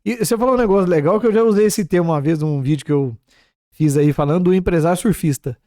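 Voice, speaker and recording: A Brazilian man with a Brazilian Portuguese accent hosts a business podcast, talking quickly in a mellow tone. He picks up his guest's points and backs them with practical examples. His pitch sits a little high, and the sound is dry. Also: no echo.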